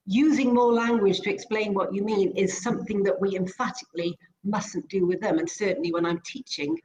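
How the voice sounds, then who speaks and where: A Welsh-born woman with a British accent speaks as an interview guest, explaining her work in a measured, articulate way. Her voice sits at a middling pitch for a woman. Welsh is her first language. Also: a little mellow, a little hiss or hum, rough recording. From Wales. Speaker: guest, speech therapist and behavior analyst.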